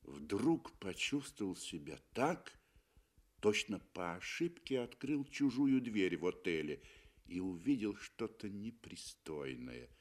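A man reading literary fiction as an actor in a mid-century Soviet radio play, theatrical and deliberate, with weighty pauses. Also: lowish voice, big pitch swings.

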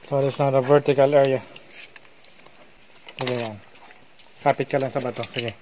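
A man's voice: mid-range voice, fairly even intonation, moderate pace, a little mellow, slight background noise. A man with a Filipino accent guiding a cave tour, narrating and coaxing climbers along in mixed English and Tagalog. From Philippines. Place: inside a large cave.

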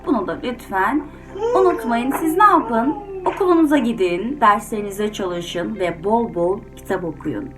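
A woman's voice: medium pitch, lively intonation, moderate pace. Woman storyteller talking to children over music. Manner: gentle advice-giving, addressing children directly. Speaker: children's storyteller and channel host. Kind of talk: children's story reading, then informal address to children. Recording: music under the voice.

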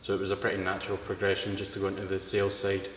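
A Scottish man in his thirties talks through his work in a relaxed, matter-of-fact way, his voice pitched a little low. He sits in a large indoor car showroom with a lapel mic. The room is roomy and echoing, with a faint hiss underneath. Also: very fast. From Scotland. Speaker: guest, product distributor. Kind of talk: casual interview. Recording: echoey hall, faint room noise.

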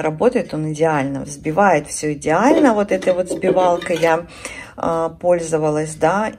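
Low-pitched creaky woman vlogger, chatty. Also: darkish tone. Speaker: beauty and lifestyle vlogger. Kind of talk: casual chat to viewers. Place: indoors at home.

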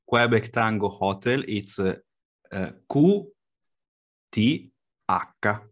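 A man's voice: fairly low pitch, animated, drawn-out words. A man in his thirties with an Italian accent, casually teaching phrases by example. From Italy. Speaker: guest language helper. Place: small home radio room, indoors. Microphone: large desk microphone, video call.

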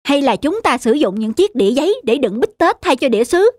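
A woman's voice: high-pitched, sing-song, brisk. A woman voicing an eager, deferential young male waiter in a cartoon. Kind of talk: cartoon story acting.

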